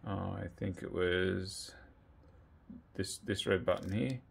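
Deep, gravelly Australian man. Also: slow. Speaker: presenter of a motorcycle gear video. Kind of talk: casual how-to walkthrough. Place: indoors in a home garage.

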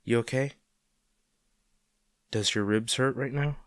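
A man's voice: medium pitch, slow, no room sound, clean studio sound, close to an everyday voice. A man acting a caring, worried boyfriend in an intimate audio roleplay, speaking tenderly and coaxingly to his injured partner. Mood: gentle, soothing. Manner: tender, coaxing, hesitant, speaking directly to the listener.

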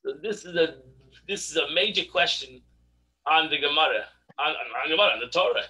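A middle-aged man teaching a daily religious class over a video call from his home study, animated and repeating words for emphasis. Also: a little high, brisk, poor audio. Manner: animated, repeats words when stressing a point. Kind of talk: online Torah class. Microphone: video call webcam.

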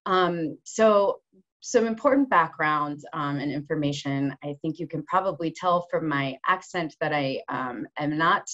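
A woman's voice: sits low, unhurried, fairly bright tone. A woman human rights lawyer, giving an earnest conference talk full of ums and restarts over a video call. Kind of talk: online conference presentation. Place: office room at home base, rain on the roof. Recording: slightly dull video call audio.

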